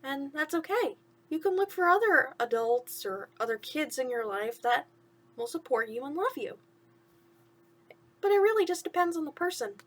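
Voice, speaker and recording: A woman hosting bedtime stories, speaking simply and encouragingly to young listeners. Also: high voice. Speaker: host of a bedtime story series. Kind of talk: storytelling to children.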